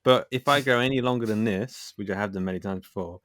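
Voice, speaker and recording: A man's voice: lowish voice, fast, fairly bright tone, dry recording, studio quality. A man chatting casually and jokily as a guest on a podcast. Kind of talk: casual jokey chat.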